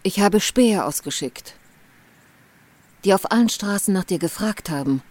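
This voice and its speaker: A woman's voice: medium pitch, expressive, normal speed, a little mellow, lightly acted. An actress playing a seductive, imperious queen in a literary radio drama, coaxing and playful in a languid manner. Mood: yearning, seductive.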